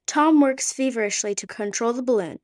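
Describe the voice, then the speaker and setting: Steady woman narrating a radio play. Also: on the high side, brisk, no room sound, studio quality. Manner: steady, descriptive. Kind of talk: scripted narration.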